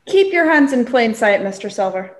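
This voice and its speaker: A woman's voice: on the high side, animated, normal speed, lightly acted. Young woman as a blustering squire, echoey. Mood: stern. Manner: stern, blustering. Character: blustering, indignant English squire, male gentleman. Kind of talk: radio play acting. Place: indoor room, remote video recording. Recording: echoey room, remote recording.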